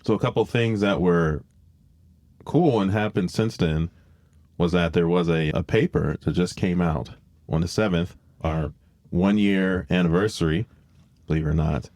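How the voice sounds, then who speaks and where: A man's voice: low voice, moderate pace, darkish tone, dry recording. A man hosting a podcast, explaining things in an informal, rambling way with self-corrections. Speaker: podcast host, biologist. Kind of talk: casual explanatory podcast talk.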